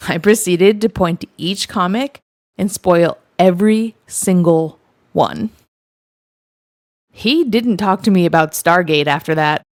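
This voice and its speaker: A woman's voice: fairly low pitch, no echo, clean studio sound. A woman narrating first-person stories in a wry, matter-of-fact way. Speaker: narrator reading online stories.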